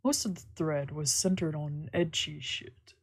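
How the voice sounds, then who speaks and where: A woman's voice: deep voice, a little slow, breathy edge. A woman streamer reading a visual novel aloud, with playful, slangy asides in casual speech. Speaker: streamer doing a visual novel let's play. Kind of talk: reading game text aloud with casual reactions.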